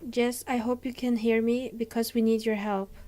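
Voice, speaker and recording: A woman's voice: on the high side, level tone, moderate pace, warm tone. A woman hosting a reaction video, casually and expressively commenting on a horror story and reading along.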